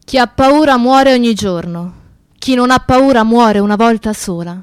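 A woman delivering a dramatic scripted monologue, solemn and emphatic, with deliberate repetition. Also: on the high side, clear, resonant tone, no echo. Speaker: actress and narrator.

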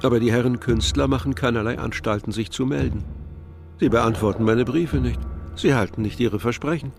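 A man's voice: fairly low pitch, gravelly. A man playing a harried, melancholy festival impresario in a German radio play, with music behind him.

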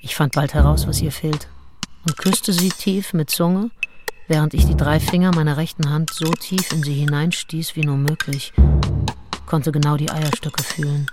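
A low female voice over clearly audible music, an actress in a radio play. She is a confident, seductive 19th-century gentlewoman, confiding her conquests in an intimate, self-assured way. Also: steady tone, moderate pace, natural voice. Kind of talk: radio drama acting, first-person diary narration. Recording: studio voice over prominent music.

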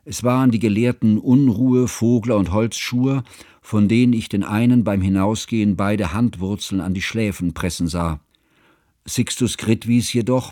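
Ein Schauspieler spielt den gefassten Erzähler eines literarischen Hörspiels und berichtet gemessen, förmlich und genau. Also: male voice, fairly low pitch, warm tone, no room sound, clean studio sound, natural voice. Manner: measured, formal, precise. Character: observant, composed male narrator recalling a friend's confession. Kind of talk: literary radio play narration.

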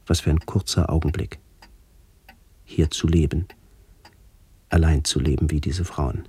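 An actor playing a brooding, melancholy middle-aged man who narrates a literary radio play in a measured, introspective way. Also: extremely low pitch, fairly even intonation, warm tone, husky, full character voice. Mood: contemplative. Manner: measured, introspective, poetic delivery.

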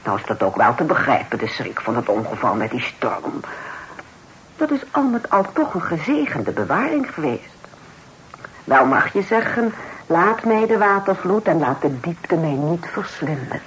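An actress playing a pious, churchgoing old aunt in a Dutch period radio play, cordial yet sermonizing, in formal old-fashioned speech. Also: female voice, deep voice, big pitch swings, moderate pace, slight background noise, rough recording. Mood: earnest, pious.

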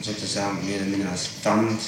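Guy doing formal questioning, methodical n halting, really echoey old tape, sounds far from the mic. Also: on the low side, level tone. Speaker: prosecutor questioning a witness. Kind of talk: formal interrogation. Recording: echoey, distant, noisy 1960s archive tape.

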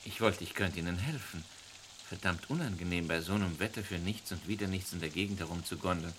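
An actor playing a chatty, helpful homeowner in a vintage radio crime play. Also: male voice, low voice, fast talker, fairly bright tone, slight background noise. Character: helpful homeowner who grew up locally. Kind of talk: 1950s-80s radio crime drama.

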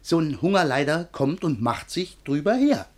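An actor playing a simple, cheeky manservant in a verse radio comedy, husky-voiced, with a homespun comic manner. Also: male voice, a little high, sing-song, normal speed, darkish tone. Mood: explaining, amused. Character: simple, cheeky manservant, homely explainer of things.

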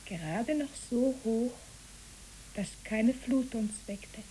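Dreamy child, fairly high voice. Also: female voice, takes it slowly, warm tone, slight background noise, rough recording. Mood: wistful. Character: dreamy, imaginative child at play. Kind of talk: poetic radio play acting.

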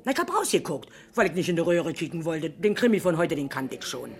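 Nosy berlin working class lady, excitable chatterbox, lowish voice that jumps all over, 70s radio crime play. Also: fast. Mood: chatty, self-important. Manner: garrulous, colloquial, breathlessly stammering in agitation. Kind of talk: 1970s German radio crime drama acting.

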